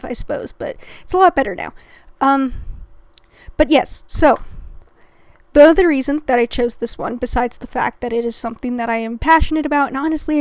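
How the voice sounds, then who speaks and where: A woman hosting a reaction video, talking in a rambling, self-interrupting and passionate way, clearing her throat as she recovers from an illness. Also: high-pitched, a little mellow, no room sound. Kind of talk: casual commentary.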